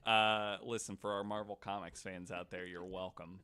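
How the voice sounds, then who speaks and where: A man's voice: sits low, little pitch movement, normal speed, bright, forceful tone, smooth. A man hosting a podcast in casual, joking chat, with a wry, geeky and freely swearing manner. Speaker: podcast host.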